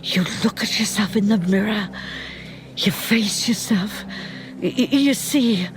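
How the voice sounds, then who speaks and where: A woman playing an ageing, proud and camp Welsh singing star, speaking theatrically with dramatic pauses in a radio play. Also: mid-range voice, a little hiss or hum, lightly acted. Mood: haunted. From Wales. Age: older. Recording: music behind the voice.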